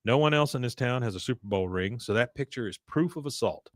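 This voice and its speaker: A man's voice: mid-range voice, animated, fast talker, no room sound, studio quality, close to an everyday voice. A man voicing a stern, level-headed and weary suburban neighbor.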